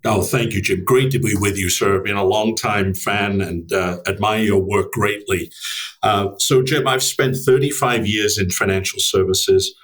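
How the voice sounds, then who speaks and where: A man in his fifties from Wales, with a slight Welsh accent, answering interview questions courteously and in measured terms as a guest. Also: lowish voice, fairly even intonation, a bit bright, poor audio. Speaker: guest, strategy executive.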